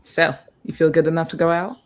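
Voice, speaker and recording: A man reads a wry, secretly predatory vampire woman for a film table read. The voice sits a little high for a man and crackles with fry, and the lines come out dry, clipped and offhand. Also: little pitch movement, a little fast, natural voice. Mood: guarded, teasing.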